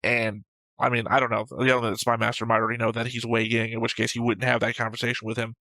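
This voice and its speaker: Man, sharp bright voice, mid pitch, emphatic, argumentative, casual show discussion, dry recording. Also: little pitch movement, quick pace, dry recording. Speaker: co-host of an anime reaction show.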